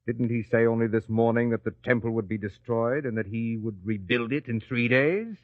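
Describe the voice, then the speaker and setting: A man's voice: normal speed, dry recording, natural voice. A man playing a cunning, commanding priestly plotter in a biblical radio drama, with a curt, sly delivery. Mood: sly, calculating. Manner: low, curt, sly, impatient. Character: cunning, commanding male elder plotting a conviction. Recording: dull, lo-fi radio drama recording.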